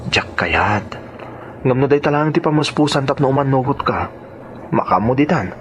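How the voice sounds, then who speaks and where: A man's voice: medium pitch, animated, normal speed, fairly bright tone, a little hiss or hum, close to an everyday voice. A man playing a pushy suitor who pleads and insists, in a radio drama style. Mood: insistent. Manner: insistent, coaxing.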